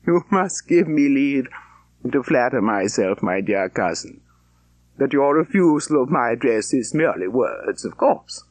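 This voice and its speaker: Man playing smug clergyman suitor, audiobook character, pompous, obsequious, ponderous, very animated pitch, dry close sound. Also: measured pace, no echo, close to an everyday voice, low-quality recording. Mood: pompous, self-satisfied. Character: pompous, self-satisfied clergyman suitor, smugly formal.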